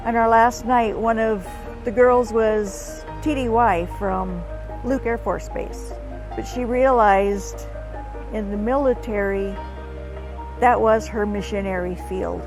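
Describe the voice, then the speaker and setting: A woman with a medium-pitched voice shares personal stories in the style of a documentary interview. Faint music runs underneath her words. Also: steady tone, slow, poor audio. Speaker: military hospitality ministry worker. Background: background music.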